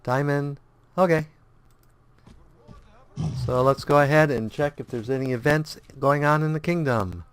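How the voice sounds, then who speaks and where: A man's voice: on the high side, unhurried, pauses often, smooth. A man with a stuffed-up nose and slightly sore throat, casually commenting on his game as he plays and humming idly through menus. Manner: hums idly while navigating menus; thinking aloud, relaxed, rambling. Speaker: let's play host.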